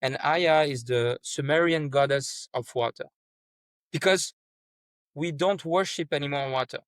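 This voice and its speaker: A man's voice: fairly high pitch, measured pace, no room sound. Brief: man, earnest guest, stumbles and restarts, dry close sound. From France. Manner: earnest, repeats words and restarts often. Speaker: startup founder, guest. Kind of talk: conversational interview.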